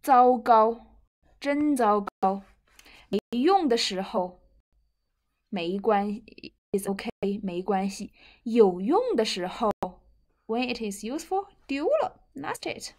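A young woman with a Chinese accent leads an online Mandarin vocabulary lesson. Her voice sits fairly high and she speaks extremely slowly. She repeats words and short phrases deliberately, giving them clear emphasis. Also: sing-song, a little mellow. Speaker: Mandarin language teacher. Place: indoors, seated at a computer.

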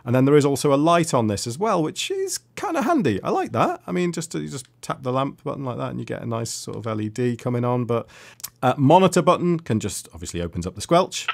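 A man talks through a product in a relaxed, unscripted review style. His voice sits at an ordinary middle pitch, and his delivery is chatty and understated, as if thinking aloud while handling the item. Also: quick pace, gravelly. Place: indoors at a desk with audio gear.

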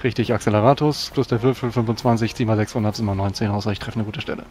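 A man's voice: on the low side, fairly even intonation, very fast, a little mellow, soft background music. A man playing a tabletop role-playing game, chatting casually through his moves and sums.

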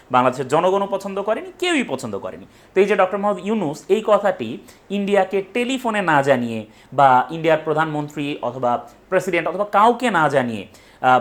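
A young man presents an explainer, emphatically rephrasing his points and posing rhetorical questions. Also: fairly high pitch. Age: young adult. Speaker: educational presenter. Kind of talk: explainer talk to camera. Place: small indoor room with bookshelf.

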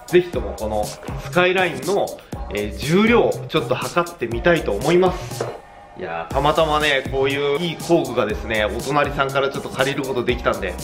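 A man in his thirties, a car channel host, explaining things casually and hands-on to camera in a garage. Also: fairly high pitch. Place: garage workshop, indoors. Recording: music behind the voice. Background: background music.

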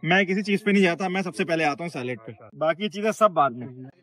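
A man in his thirties with a Pakistani Urdu accent, hosting a casual food vlog outdoors and reacting with excited exclamations. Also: a little high, fast talker. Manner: excited, exclamatory, chatty with staff. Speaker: food vlogger. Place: outdoor restaurant at dusk.

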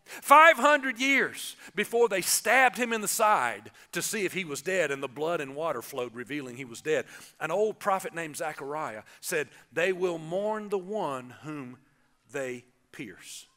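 A man, preaching an animated Easter sermon from a church stage and calling on the congregation to answer. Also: fairly high pitch, measured pace. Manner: animated, gestures broadly, calls on the congregation. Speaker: pastor. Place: church stage, large indoor auditorium.

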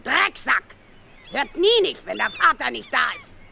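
An actress playing a coarse, scolding working-class mother in a radio play, with ungrammatical speech and a shrill, scratchy voice. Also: very animated, a little slow, gravelly, full character voice. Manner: shouting, ungrammatical, uneducated speech.

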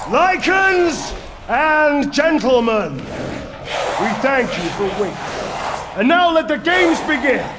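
A man's voice: very high voice, heavy background noise, exaggerated voice. A man playing a crude, mocking, sadistic showman villain in a video game, with sneering theatrical delivery. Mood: gleeful showman. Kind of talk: video game cutscene acting.

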